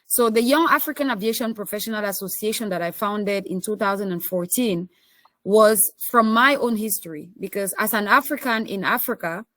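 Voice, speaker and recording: A woman with a Cameroonian accent speaks at a medium pitch in a dry, echo-free recording. She is a panel guest giving an account of herself. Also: normal speed, a bit bright. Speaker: panelist, association president. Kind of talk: panel self-introduction.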